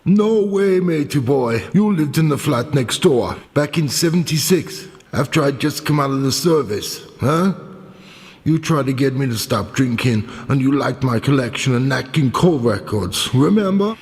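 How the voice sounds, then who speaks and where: A man's voice: medium pitch, expressive, normal speed, darkish tone, long reverb tail, lightly acted. An actor playing a bitter, rambling down-and-out ex-serviceman in a radio play. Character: bitter, rambling down-and-out ex-serviceman, crooning old songs.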